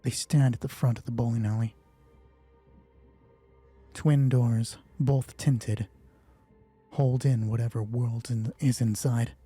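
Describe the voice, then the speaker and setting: Male solo RPG host. Dark, soft-edged, slightly rough voice; dramatic narrator who drops into casual rules talk. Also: medium pitch, steady tone, takes it slowly, pauses often, faint music behind. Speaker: solo roleplay game master and host. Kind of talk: tabletop roleplay narration mixed with casual rules explanation.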